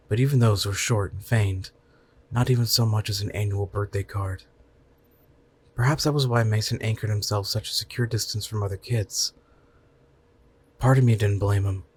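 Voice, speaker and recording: A man's voice: fairly low pitch, breathy edge. A man narrating a scripted short story in the first person.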